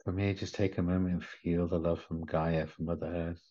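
A man leading a guided meditation in a gentle, soothing manner, with short unhurried instructions. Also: low voice, little pitch movement. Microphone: video call. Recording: slightly muffled video call audio.

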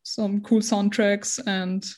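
Slow, hesitant young woman from Austria. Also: mid-range voice, little pitch movement, darkish tone. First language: not English. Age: 20s. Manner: hesitant, searching for words, many restarts. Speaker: guest. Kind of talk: casual interview conversation.

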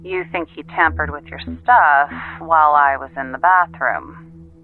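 A woman's voice: low voice, a little slow, soft background music. A woman playing a wry, efficient detective's assistant, clipped and matter-of-fact, heard through a telephone filter in an audio drama. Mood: incredulous. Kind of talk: naturalistic audio drama dialogue. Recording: tinny, narrow phone line.

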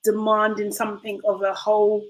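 A woman's voice: mid-range voice, completely flat delivery, normal speed, lo-fi sound. A woman co-hosting a casual discussion, speaking thoughtfully and hesitantly, with many fillers. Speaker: podcast co-host.

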